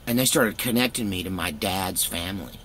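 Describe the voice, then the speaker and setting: A man's voice: deep voice, fairly quick, fairly bright tone, slight background noise. A middle-aged American man telling a personal story casually, in a candid, folksy, rambling way.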